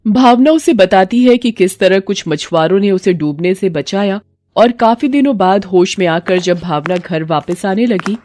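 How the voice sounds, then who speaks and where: A woman's voice: medium pitch, dark tone. A woman reading a scripted moral bedtime story as narrator, with music in the background.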